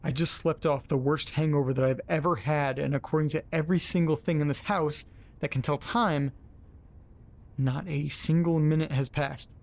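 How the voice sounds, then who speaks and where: A man acting in an audio drama as a frightened, hungover man alone in the dark, halting and repeating himself as he swears. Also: fairly high pitch, fairly even intonation, vocal fry. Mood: bewildered, uneasy.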